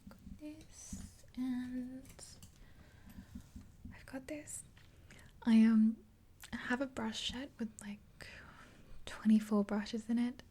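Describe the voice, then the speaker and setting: A woman in her twenties doing a gentle ASMR roleplay in a soft, unhurried, breathy whisper, close to the mic. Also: fairly high pitch, a little hiss or hum. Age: 20s. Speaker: ASMR video creator. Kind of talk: ASMR roleplay, whispered makeover with rambling chat. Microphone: close to the mic, facing camera.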